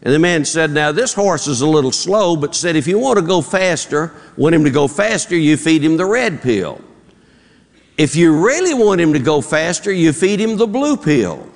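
A man's voice: fairly high pitch, lively intonation, normal speed. A man with a Southern accent preaching to his congregation, telling folksy jokes in a drawl and acting out the voices in them. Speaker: pastor. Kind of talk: sermon with folksy joke-telling. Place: church auditorium. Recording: long reverb in a large hall.

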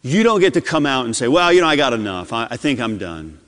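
Male pastor preaching a fervent sermon, lively voice, reverberant church sound. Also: medium pitch, fast talker. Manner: impassioned preaching, swings between loud and hushed. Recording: long hall reverb.

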